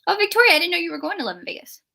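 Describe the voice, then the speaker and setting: A woman's voice: very high voice, expressive, racing, gravelly, pushed and bright. A woman co-hosting a live book readalong show, chatting casually and animatedly with a friend. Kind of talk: casual chat between friends.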